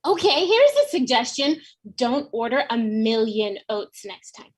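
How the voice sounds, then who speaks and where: A woman's voice: fairly high pitch, expressive, a little slow, slightly rough. A woman playing an exasperated, practical store employee in a comedy table read.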